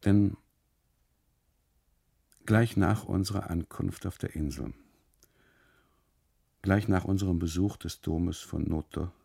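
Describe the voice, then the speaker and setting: An actor playing an anxious, obsessive husband on holiday in a literary radio monologue, speaking in halting fragments with insistent repetition and a gravelly, husky voice. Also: male voice, deep voice, dark tone, no echo, clean studio sound, exaggerated voice. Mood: uneasy, recounting. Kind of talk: literary radio monologue, 1980s German radio drama.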